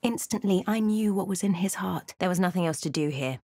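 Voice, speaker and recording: A woman playing a wry, watchful female adventurer in a fantasy video game, with measured, dramatic storytelling delivery. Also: lowish voice, darkish tone, no echo, clean studio sound. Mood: measured, grave. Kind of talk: scripted fantasy game narration and dialogue.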